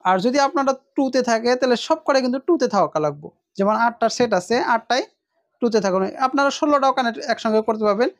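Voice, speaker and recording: A woman's voice: a little high, expressive, fast, no room sound, clean studio sound. A woman presents a casual unboxing demonstration, explaining informally and repeating her points.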